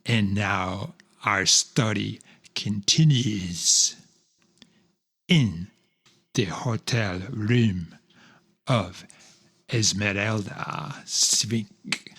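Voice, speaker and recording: A man narrating a radio serial in a scripted, dramatic style, delivering it deliberately in short, separated phrases. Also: on the low side, sharp tone, slightly rough.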